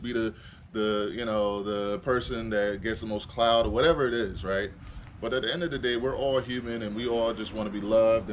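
A man's voice: mid-range voice, slight background noise. Thirties man from Trinidad, vlog host; earnest, motivational, filler-heavy delivery, outdoor camera mic with faint ambience. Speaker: vlogger host. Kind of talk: casual chat and to-camera talk. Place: outdoors in front of a house garage.

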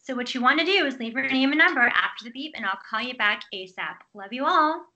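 Chirpy, silly young woman's voicemail greeting, read by an actress, high-pitched and bright, film drama. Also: animated, fast, dull audio, heavily acted. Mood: playful, chirpy. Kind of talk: screenplay table read.

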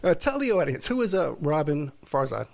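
A man hosting an interview podcast, asking questions in a relaxed way with frequent pauses and fillers. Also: a little high, gravelly. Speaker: podcast host, private investigator. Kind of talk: casual interview.

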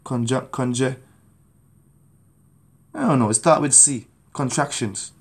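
Fervent gravelly Jamaican-born preacher. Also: male voice, mid-range voice, slow. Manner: fervent, urgent pleading. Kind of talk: testimony and street preaching to camera. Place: small indoor room at home. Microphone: phone camera at arm's length.

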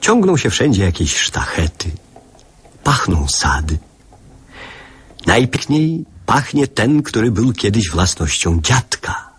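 An actor playing a wistful father narrating his childhood memories in a literary radio play, with a husky voice. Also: fairly low pitch, big pitch swings, takes it slowly, full character voice.

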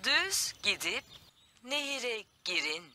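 A woman voicing a polite talking camper van that gives directions in a measured way in a children's cartoon. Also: a little high, very animated. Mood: calm, polite. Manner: measured, instructional. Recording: music behind the voice.

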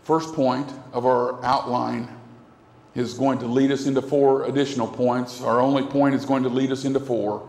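A man's voice: mid-range voice, level tone, normal speed, a little mellow. A middle-aged man preaching a sermon at a pulpit microphone in a church, earnest and repetitive, with broad gestures. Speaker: pastor. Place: church sanctuary, indoors. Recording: echoey hall from a far camera.

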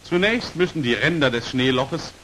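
A man's voice: mid-range voice, animated, moderate pace, bright, forceful tone, low-quality recording. A man narrating an old 1940s instructional film in a precise, formal manner, on an aged film soundtrack. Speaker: narrator of a military training film. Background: hiss.

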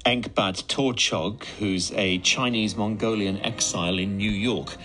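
A man presenting broadcast news, introducing the story and asking the guest pointed questions in a measured way. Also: on the low side, fairly bright tone, soft background music.

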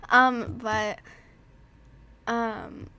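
A woman's voice: on the high side, drawn-out words, pushed and bright, a little hiss or hum. A woman with a stuffed-up nose casually commenting while she plays a game, chatting to herself and her horse. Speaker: gaming vlogger.

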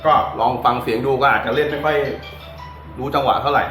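A man's voice: medium pitch, fairly even intonation, brisk, fairly bright tone, soft background music. A man giving an informal, easygoing, hands-on tutorial in a chatty, unscripted way. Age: adult with a child. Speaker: DIY guitar electronics tutorial host. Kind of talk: casual hands-on demonstration talk.

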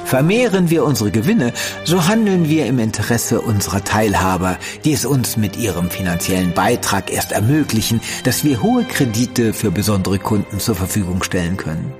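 An actor playing a genial, shrewd senior merchant banker in an audio drama, measured and patronising in formal diction. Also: male voice, fairly low pitch, animated, over music, lightly acted. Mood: reassuring, self-assured. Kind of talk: German audio drama acting.

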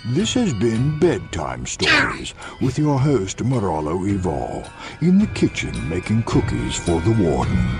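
Grandly swooping male announcer over loud music. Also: low-pitched, huge pitch swings, measured pace, few pauses. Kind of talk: mock-grand radio theatre announcing.

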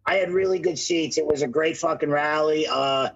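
A young man co-hosting a casual podcast over a video call from home, talking loudly and joking with a raspy voice through his phone mic. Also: fairly high pitch, moderate pace, dull audio. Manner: loud, joking, cursing freely. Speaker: podcast co-host. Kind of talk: casual chat. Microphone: phone mic, no headset.

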